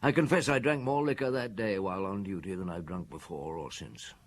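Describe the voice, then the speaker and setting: A man playing a weary, guilt-ridden, methodical middle-aged police chief in a radio drama, with a husky, measured delivery. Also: deep voice. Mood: weary, regretful. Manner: measured, reflective.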